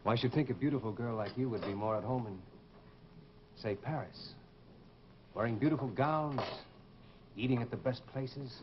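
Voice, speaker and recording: An actor playing a courtly, insistent suitor with a hidden vengeful streak in an old radio horror drama. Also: male voice, medium pitch, normal speed, pauses often, lightly acted. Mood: wooing. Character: suave, intense English suitor hiding a vengeful nature. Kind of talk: 1940s radio horror acting. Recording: dull, noisy old radio broadcast.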